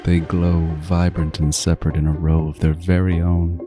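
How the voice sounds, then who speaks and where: A man's voice: deep voice, level tone, normal speed, music in the background, natural voice. An actor playing a meticulous, self-styled artist serial killer in a horror audio drama, savoring his words theatrically with swooping emphasis. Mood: gloating, reverent. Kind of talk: first-person horror audio drama monologue.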